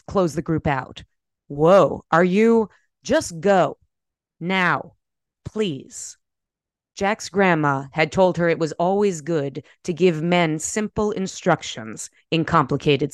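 An American woman, an author speaking in an articulate, measured way with a smooth, clear voice. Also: deep voice, no room sound. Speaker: debut author, guest. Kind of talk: conversational interview talk and prose reading from own novel.

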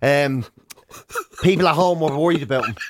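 A man's voice: fairly high pitch, normal speed, background noise. An Irish man co-hosting a radio show, casually retelling funny anecdotes and acting out complaints in mock outrage. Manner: animated, acts out quoted complaints in mock outrage. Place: radio studio.

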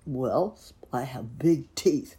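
A woman's voice: extremely low pitch, expressive, dark tone, husky, full character voice. A woman voicing a kind, brave male horse in a children's story, earnest and emphatic. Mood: defiant. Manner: neighing, earnest, emphatic, drawn-out.

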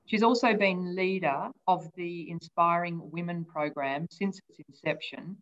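A woman's voice: lowish voice, a little slow. A woman hosting a leadership podcast, reading her intro and asking earnest, enthusiastic questions. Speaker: podcast host and interviewer. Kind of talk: scripted intro then interview questions. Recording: dull, lo-fi sound.

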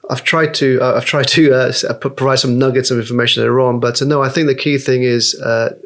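A man's voice: mid-range voice, steady tone, fast. A man in his forties, a podcast guest talking casually, rambling with lots of fillers and restarts. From Nepal. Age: 40s.

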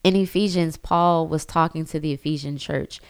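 A woman's voice: sits low, steady tone, normal speed, clear, resonant tone, no echo. A young woman thinking aloud in a personal reflective monologue, full of fillers and restarts. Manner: thoughtful, frequent fillers and restarts. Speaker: reflective speaker.

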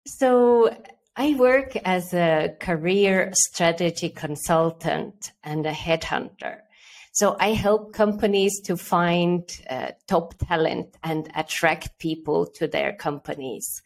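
A middle-aged woman from Estonia with an Estonian accent, answering interview questions as a guest with careful, halting phrasing. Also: fairly low pitch, creaky. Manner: careful, with repeated words and fillers. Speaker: guest, headhunter.

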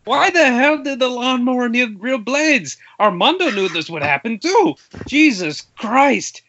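A man's voice: extremely high pitch, very animated, measured pace, pushed and bright, heavily acted. A man playing a fed-up, foul-mouthed film producer in an amateur table read over an internet call. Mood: exasperated, angry. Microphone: internet video call. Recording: dull, noisy internet call, clicks.

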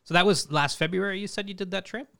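A man's voice: high voice, quick pace, gravelly, bright, forceful tone. A man hosting a casual chat, giving eager short reactions and follow-up questions.

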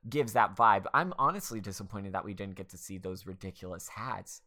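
Very low-pitched young American woman, sarcastic. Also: lively intonation, a little fast, fairly bright tone. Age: 20s. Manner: sarcastic, exasperated, sweary. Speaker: podcast co-host. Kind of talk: casual comedic movie discussion.

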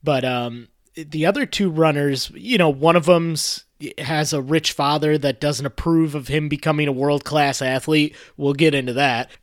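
Young male co-host, slightly high voice, hedging and rambling, dry close-miked sound. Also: normal speed, few pauses, no room sound. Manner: rambling, hedging, lots of "like" and "I guess". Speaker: podcast co-host. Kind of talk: casual movie discussion.

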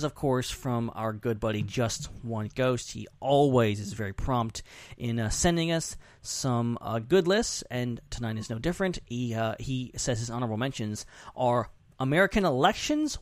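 A man's voice: mid-range voice, big pitch swings, moderate pace, dry recording. A man hosting a podcast in casual chat, talking in an informal, jokey, rambling way. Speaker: podcast host.

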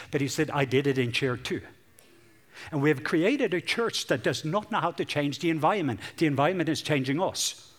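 Man preaching from a lit stage. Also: a little high. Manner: warm, joking with the congregation, loose rambling delivery. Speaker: guest preacher. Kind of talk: sermon. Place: large church hall with stage lights.

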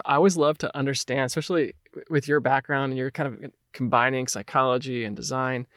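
A man's voice: mid-range voice, no echo, clean studio sound. A man hosting a design podcast, reading an upbeat scripted intro and then chatting casually with his guest. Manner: upbeat, reads announcements then chats loosely. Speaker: podcast host.

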